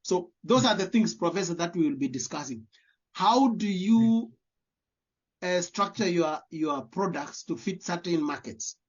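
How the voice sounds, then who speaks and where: A man's voice: sits high, lively intonation, measured pace, slightly rough. A Kenyan man with a Kenyan accent, answering interview questions as a diaspora leader, earnestly repeating words as he searches for phrasing. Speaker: guest, diaspora community leader. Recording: dull lo-fi audio.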